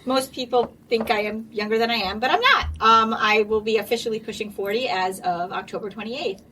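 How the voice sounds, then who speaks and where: Giggly woman in her late 30s hosting a chatty podcast, kinda high voice, faint hiss behind. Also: fairly quick, sharp tone, distant mic, low-quality recording. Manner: giggly, stumbles over words. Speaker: podcast host. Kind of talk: casual chat. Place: library recording room.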